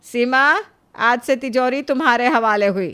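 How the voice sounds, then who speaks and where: A woman's voice: on the high side, moderate pace, fairly bright tone, clean tone, close to an everyday voice. A woman voicing a shrewd older mother-in-law, a family matriarch in a moral cartoon, coaxing yet authoritative. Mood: soothing. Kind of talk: Hindi moral cartoon story acting.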